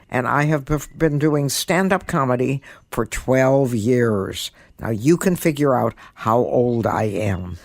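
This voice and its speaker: A woman in her eighties speaks in a deliberate, emphatic manner, as if instructing her listeners. Her voice is captured close and dry, with no room around it. She presents like a seasoned podcast host. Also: very deep voice, dry recording. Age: 80s. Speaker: guest podcast host, comedian and author. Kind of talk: podcast monologue with writing tips and story reading.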